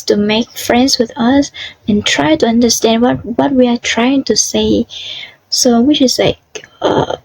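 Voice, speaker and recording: A young woman from southern Vietnam answers questions in earnest, simple English with a Vietnamese accent. She talks slowly and haltingly, with many ums and restarts. Her voice is a little high and sounds close and dry. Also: darkish tone, dry recording, poor audio. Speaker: interviewee.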